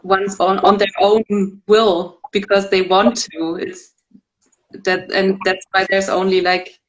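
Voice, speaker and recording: A woman's voice: mid-range voice, level tone, takes it slowly, muffled recording. A woman interviewing a guest in casual talk, thoughtful and hedging with frequent fillers.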